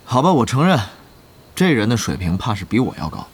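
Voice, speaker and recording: A man's voice: medium pitch, sing-song, brisk, breathy edge. An actor playing an affable, scheming male guild leader in an audio drama, chummy, exclamatory and eager to please. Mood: rueful.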